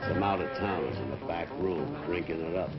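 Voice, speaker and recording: An actor playing a gruff male bartender in a 1960s crime film, talking curtly and informally. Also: sits low, brisk, close to an everyday voice. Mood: gruff, wary. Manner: curt, informal. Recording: muffled 1960s film soundtrack. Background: loud music.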